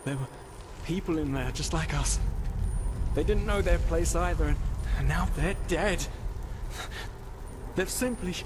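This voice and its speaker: High-pitched, frightened male prisoner played by an actor. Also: a little slow, very noisy, lightly acted. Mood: horrified, despairing. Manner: hesitant, stammering, fearful. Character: frightened, despairing male prisoner, meek and fatalistic. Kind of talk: video game voice acting.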